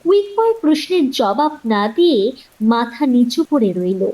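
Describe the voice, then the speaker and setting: High-voiced, animated woman storyteller. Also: moderate pace. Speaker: narrator. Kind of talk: scripted horror story narration.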